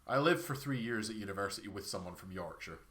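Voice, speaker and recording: Perthshire scottish guy streaming a game, bit of a scratchy throat, lowish voice, chatty and self-deprecating. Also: expressive, fast talker, bright, forceful tone. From Scotland. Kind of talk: casual chat while playing a game.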